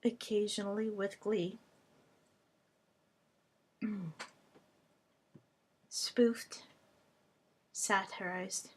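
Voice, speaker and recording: Woman, native Hungarian speaker, language tutor; unhurried vlog monologue, halting short phrases. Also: medium pitch.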